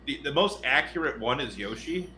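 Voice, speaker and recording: A man's voice: medium pitch, lively intonation, moderate pace, bright, forceful tone. A man in his thirties co-hosting a podcast, chatting casually about films and often repeating words as he goes. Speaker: podcast co-host. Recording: roomy echo, faint noise.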